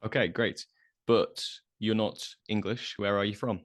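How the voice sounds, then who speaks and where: A man hosting an interview, speaking clearly in a friendly, encouraging way. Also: lowish voice, steady tone, a little slow. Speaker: interview host, English teacher.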